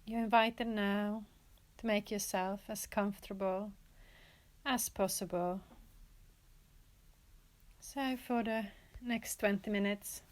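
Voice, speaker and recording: A woman teaching yoga nidra, guiding a relaxation in a gentle, soothing manner with pauses and repeated, inviting phrases. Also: mid-range voice, steady tone. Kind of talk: guided relaxation meditation.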